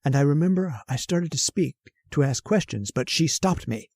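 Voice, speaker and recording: A man's voice: a little high, animated, normal speed, a little mellow, no room sound, studio quality. An actor playing a nervous, haunted man who narrates his story in an old-time radio horror drama, jittery and hesitant. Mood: wry, haunted. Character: nervous, haunted, centuries-old male professor confessing a murder plan.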